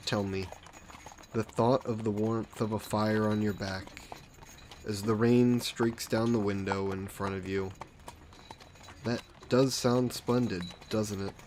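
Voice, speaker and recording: A man playing a suave, possessive vampire lord in an intimate fantasy audio roleplay, speaking softly and coaxingly with condescending affection, as if hypnotizing a captive. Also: on the low side, a little hiss or hum. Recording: steady background carriage and rain ambience. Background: horse-drawn carriage and rain sound effects.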